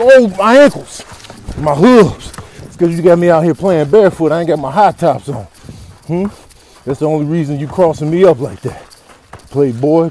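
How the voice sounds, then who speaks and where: A performer voicing a cocky male bull who talks excitable, repetitive street-ball trash in a comic animal voiceover. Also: medium pitch, slight background noise, natural voice. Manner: excitable, repetitive slangy taunting.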